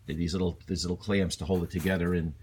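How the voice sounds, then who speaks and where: A man's voice: low voice, little pitch movement, fast talker, darkish tone, slight background noise. A man presenting a casual, unscripted repair walkthrough in a rambling, self-deprecating, colloquial way. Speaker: hobbyist presenter.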